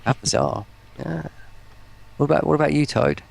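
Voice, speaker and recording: A man hosting a casual livestream chat in a halting, musing way. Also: on the high side, big pitch swings, vocal fry. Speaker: stream host.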